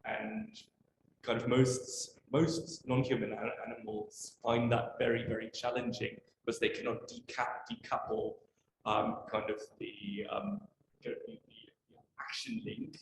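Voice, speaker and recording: An echoey room surrounds a man with a creaky, slightly deep voice. He puts an academic question casually and tentatively, padding it with hedging words. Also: big pitch swings, unhurried. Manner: tentative, heavily hedged with "kind of" and "like". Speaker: audience questioner at an academic talk. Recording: echoey room with faint noise.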